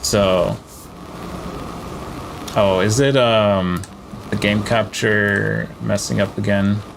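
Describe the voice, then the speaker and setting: A man's voice: fairly low pitch, fairly even intonation, slow, creaky, background noise. A man giving casual live gameplay commentary, thinking aloud as he plays. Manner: thinking aloud while playing. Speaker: game streamer.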